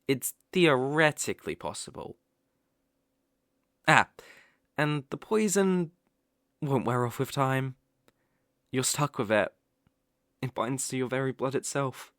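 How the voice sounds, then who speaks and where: A British man playing a sly, possessive young male kitsune fox spirit in an ASMR roleplay, soft-spoken and coaxing with playful hesitations. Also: medium pitch, no room sound, studio quality. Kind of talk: ASMR roleplay, acted directly to the listener. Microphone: close microphone, dry.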